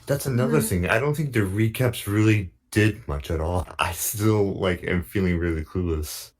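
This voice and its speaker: Low-voiced man thinking aloud. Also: normal speed. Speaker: panel commentator. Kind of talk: casual group critique chat.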